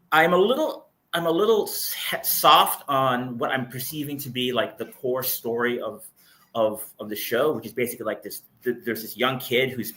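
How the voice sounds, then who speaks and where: Guy guest on a livestream panel, bright forceful voice, medium pitch, casual opinions. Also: low-quality recording. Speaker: panel guest, entertainment journalist.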